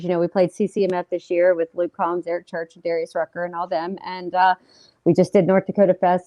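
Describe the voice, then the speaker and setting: A woman's voice: mid-range voice, mellow, no echo. A woman singer chatting casually as a guest in an interview, upbeat and full of fillers.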